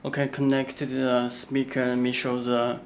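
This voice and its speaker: A man talks through a product demonstration in halting, simple English that is not his first language, pausing often between short phrases. His voice is gravelly and flat, with little rise and fall, and his pace is slow. A faint hiss of background noise sits under the recording. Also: mid-range voice. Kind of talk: unscripted product walkthrough.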